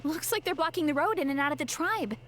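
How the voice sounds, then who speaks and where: A woman's voice: sits high, lively intonation, quick pace, a bit bright, a little hiss or hum. An actress playing a cheerful, laid-back young woman warrior in a video game, with an easygoing, breezy manner and sudden earnest bursts. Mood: upbeat. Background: game music.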